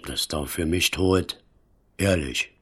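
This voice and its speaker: A man playing a shifty, weary petty crook and informant in a radio crime play, gravelly and evasive. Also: sits low, a bit bright, full character voice. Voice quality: gravelly, husky. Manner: halting, evasive, slangy. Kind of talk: 1970s German radio drama acting.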